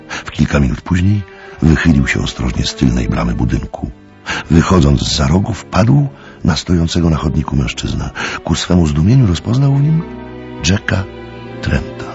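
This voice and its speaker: A man's voice: very deep voice, creaky, lo-fi sound. A man narrating a radio play in a scripted, dramatic storytelling style.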